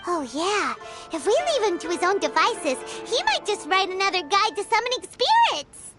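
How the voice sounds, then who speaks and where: A woman voicing a tiny floating female companion who talks about herself in the third person, excitable and chatty in a video game. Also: very high-pitched, normal speed, loud music behind the voice. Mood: curious.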